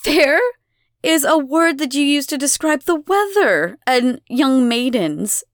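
A woman's voice: medium pitch, very animated, slow, dry recording, studio quality. A woman voicing a cruel, volatile fire goddess in an audiobook, mocking, imperious and theatrical. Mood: mock regret. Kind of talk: audiobook character voice.

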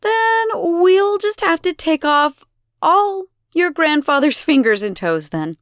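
A woman's voice: medium pitch, big pitch swings, slow, dry recording, clean studio sound. A woman voicing a cruel, volatile fire goddess in an audiobook, mocking, imperious and theatrical. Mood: mock regret. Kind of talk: audiobook character voice.

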